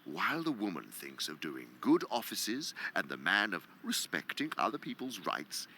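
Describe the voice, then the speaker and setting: A man's voice: lowish voice, wildly swooping pitch, normal speed, bright, forceful tone, slight background noise, exaggerated voice. A man playing a sly, gloating senior demon who lectures pompously for a radio drama, savoring his words and sometimes dropping to a whisper. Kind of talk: theatrical radio drama monologue.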